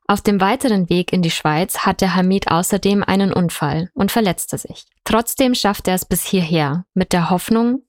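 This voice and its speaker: A woman reporter narrates a scripted story in a clear, measured way and speaks straight to the viewers. Her voice sits in a middle range and is recorded close and dry. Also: mid-range voice, a little mellow, no room sound.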